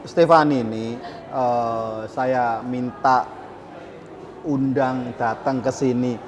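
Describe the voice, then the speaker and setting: A man's voice: medium pitch, fairly even intonation, slow, a crowd in the background. A man from hosting a casual interview, dropping in English phrases. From Surabaya, East Java, Indonesia. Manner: mixes in English phrases, emphatic. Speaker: talk show host.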